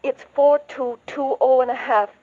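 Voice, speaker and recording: A woman's voice: sits high, fairly even intonation, unhurried. A woman playing a worried, refined mother in a 1940s radio drama, speaking earnestly and hesitantly. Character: worried, genteel middle-aged mother hiring a detective. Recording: dull old radio recording.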